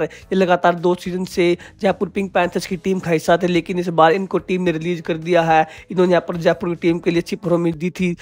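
A man's voice: high voice, no room sound. A man gives a scripted voice-over about a sports auction, steadily explaining and addressing viewers as friends. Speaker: kabaddi video presenter.

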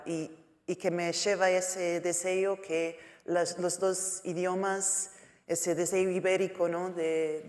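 A woman speaking in her second language with a Portuguese accent, a writer on a panel, halting and searching for words. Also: fairly low pitch, darkish tone. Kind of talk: panel talk. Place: lecture hall. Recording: long hall reverb.

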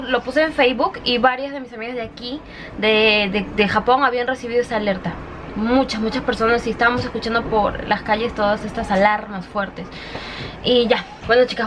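Young female vlogger, higher-pitched voice, chatty and confiding, handheld camera with noticeable background noise. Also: fairly bright tone, poor audio. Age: young adult. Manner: chatty, often addressing viewers directly. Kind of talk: casual confiding vlog chat. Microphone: camera at arm's length.

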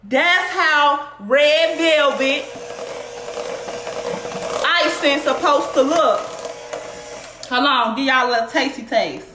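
A playful woman in her thirties chats through a home cooking vlog, her fairly high voice drawn out at an easy, unhurried pace. She talks straight to her viewers, breaking into sing-song exclamations. Her voice sounds roomy and a little distant, picked up by a camera across the kitchen. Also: noisy background. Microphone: far camera on tripod.

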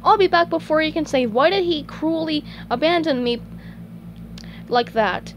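Woman plays eager childlike sponge fry cook, storybook style. Very high, exuberant, sing-song. Noisy recording. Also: moderate pace, lo-fi sound, close to an everyday voice. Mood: bubbly, reassuring. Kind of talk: children's storybook character reading.

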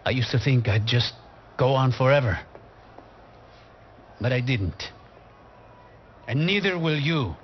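A man playing a middle-aged football coach in a video game, intense and burdened by regret, speaking in a dramatic, measured way with emphatic pauses. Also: mid-range voice, lively intonation, lo-fi sound, a little hiss or hum. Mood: grave, urging. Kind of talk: cinematic game acting, motivational speech.

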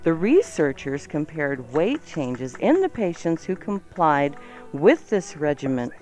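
Lively radio co-host with swooping pitch. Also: measured pace, faint music behind. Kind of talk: chatty, joking radio banter and item reading.

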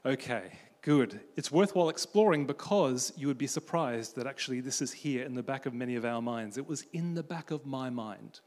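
A man from Australia giving a conference talk about faith and friendship, telling stories in an animated way as he moves around a large stage with a handheld mic. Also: medium pitch, normal speed, hardly any pauses. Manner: animated storytelling, pacing the stage. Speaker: conference speaker. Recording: long hall reverb.

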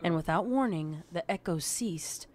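A man's voice: fairly high pitch, animated, measured pace, a crowd in the background. A man reading scripted, dramatic narration of a horror story as its narrator. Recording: clear background noise and ambience.

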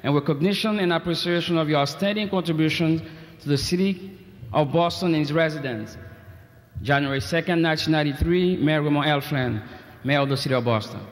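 A man's voice: a little high, fairly quick. A man presenting awards at a gala, reading citations formally with joking asides, and saying he is losing his voice. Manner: reads citations formally, with asides and self-deprecating jokes. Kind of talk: ceremony announcing and reading citations. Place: large convention hall. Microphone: podium microphone. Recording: echoey convention hall, 1990s video.

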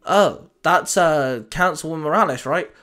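An eager young male hero, read by a man narrating, earnest and restless. The voice sits a little high, in an easy storybook delivery. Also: moderate pace, a bit bright, close to an everyday voice. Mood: casual. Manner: earnest, self-encouraging.

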